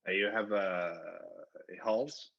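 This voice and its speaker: A man calling in to a phone-in show, chatting casually in a halting, tentative way. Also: on the low side, a bit bright, dull audio. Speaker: phone-in caller. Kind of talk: hesitant casual phone chat.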